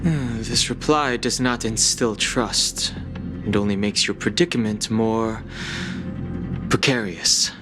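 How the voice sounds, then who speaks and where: An actor playing a stern, guarded, skeptical interrogator in a video game, speaking in a formal, measured, terse way. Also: male voice, fairly low pitch, fairly even intonation. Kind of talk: video game cutscene acting. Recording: music under the dialogue. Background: background music.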